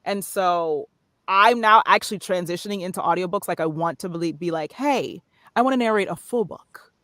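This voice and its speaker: A woman's voice: fairly low pitch, normal speed, a bit bright, no echo, clean studio sound. A woman guest on a podcast, chatting casually about narration work and acting out little examples as she explains. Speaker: guest, voiceover artist. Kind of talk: casual interview talk.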